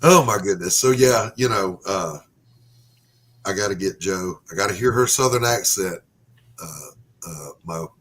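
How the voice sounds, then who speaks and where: A man hosting a casual podcast chat, animated and playfully exasperated. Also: deep voice, unhurried, breathy edge. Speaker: podcast host.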